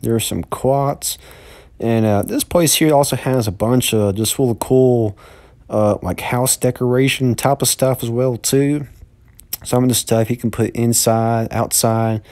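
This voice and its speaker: A man's voice: medium pitch, measured pace, darkish tone, dry recording. Vlogger in his thirties, chatty and repetitive, recorded dry on a phone. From Mississippi, USA. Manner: rambling, repeats words, upbeat. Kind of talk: casual walk-through vlog. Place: large indoor store. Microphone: handheld phone.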